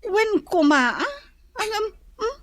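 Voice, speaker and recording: A woman's voice: very high voice, very animated, slow pace, fairly bright tone, dry recording, heavily acted. A woman with an Ilocano Filipino accent playing a bossy, thrifty, exasperated nag who scolds in exclamations in a radio comedy drama.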